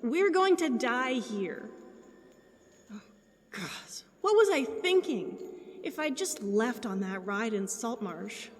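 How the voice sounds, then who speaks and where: A woman's voice: fairly high pitch, animated, slow pace. A woman playing a bitter, exhausted, foul-mouthed young female spellcaster in a fantasy audio drama, emotional and scornful. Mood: bitter, despairing. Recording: long cavernous reverb.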